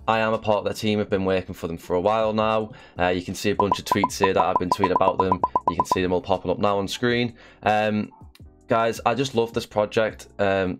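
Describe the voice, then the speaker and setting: A man's voice: lowish voice, smooth. A young man with a slight cough hosting a casual promotional video, chatty and full of fillers. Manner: chatty, with frequent "uh" and "um". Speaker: channel host.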